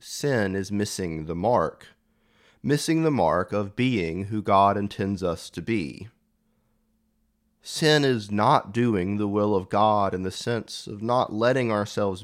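A man preaching a reflective homily in measured, deliberate phrases. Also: lowish voice.

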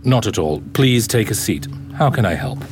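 An actor playing a cold, imperious head scientist who gives curt commands in an audio drama. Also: male voice, lowish voice, expressive, normal speed, very noisy, close to an everyday voice. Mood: brusque, formal. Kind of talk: full-cast audio drama acting.

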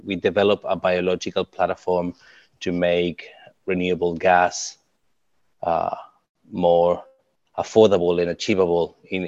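Uruguayan man, low voice, dull dry audio. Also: no room sound. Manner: hesitant, many fillers and restarts. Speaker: climate tech startup co-founder. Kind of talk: interview answers.

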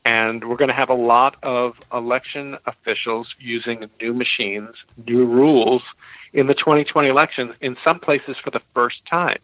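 A man's voice: mid-range voice, steady tone, normal speed, no room sound, narrow, tinny audio. An American man speaking as an expert guest, explaining his points in answer to interview questions. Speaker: expert guest, law professor and author.

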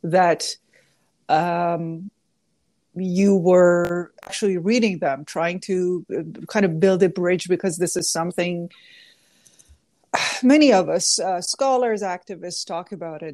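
Woman from Iran, interview guest, lowish voice, dry close sound, thoughtful, self-correcting. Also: slow pace, a little mellow, no room sound. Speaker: guest scholar. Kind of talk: conversational interview answer.

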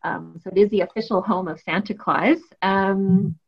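A woman's voice: medium pitch, steady tone, normal speed, gravelly, husky. A Canadian woman in her thirties answering interview questions in a friendly, fluent way, with frequent ums. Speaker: grant proposal manager. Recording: muffled lo-fi audio.

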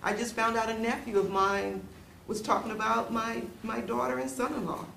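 A woman's voice: a little high, fairly even intonation, moderate pace, creaky, a bit bright. A middle-aged woman speaking personally and conversationally in a discussion. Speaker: discussion participant. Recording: roomy, with faint noise.

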